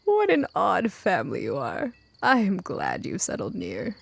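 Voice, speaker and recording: A woman voicing a kindly older lady in a storybook, gently amused and inquisitive. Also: mid-range voice, huge pitch swings, unhurried, creaky, breathy edge, full character voice. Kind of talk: acted audiobook dialogue.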